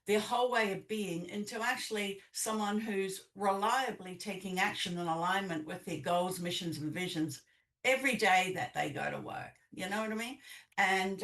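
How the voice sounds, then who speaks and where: A woman's voice: medium pitch, fairly bright tone. An older woman with a New Zealand accent talking conversationally as an interview guest about her own work. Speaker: podcast guest, business coach. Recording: echoey room, distant mic.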